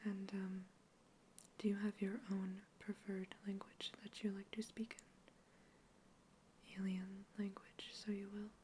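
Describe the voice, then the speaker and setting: Softly whispering woman asking questions. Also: medium pitch, monotone, slow pace, slight background noise. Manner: clinical, gentle interviewer. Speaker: ASMR creator. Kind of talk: whispered sci-fi roleplay.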